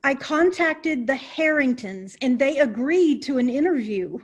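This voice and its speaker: Woman as polite, keen young journalist, high-pitched, for a stagey comedy. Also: expressive, a little slow. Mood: eager. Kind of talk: stagey comedy play acting.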